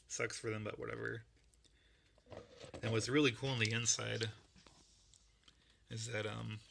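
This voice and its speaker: A man with a gravelly voice that is going, casually rambling through a show-and-tell of a model build. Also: lowish voice, sharp tone, a little hiss or hum. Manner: rambling, laid-back, lots of fillers. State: losing his voice.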